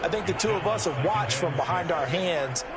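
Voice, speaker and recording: High-voiced American football color analyst. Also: male voice, animated, normal speed. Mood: chatty, emphatic. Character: male football color analyst, chatty and opinionated. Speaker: color commentator in a football video game. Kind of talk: conversational sports broadcast analysis. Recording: game audio with loud music.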